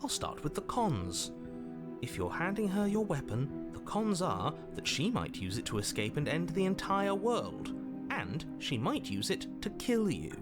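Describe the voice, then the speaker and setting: A man voicing the exasperated narrator of a horror game, speaking in a wry, expressive way. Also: a little high, a little slow, loud music behind the voice. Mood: fussy. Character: fussy, exasperated male storybook narrator.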